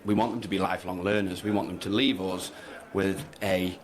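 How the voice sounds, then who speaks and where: A man's voice: low voice, steady tone, slight background noise. A man, a teacher, speaking earnestly on a radio show with frequent restarts. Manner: halting, earnest, many restarts. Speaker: teacher, guest. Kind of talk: radio interview.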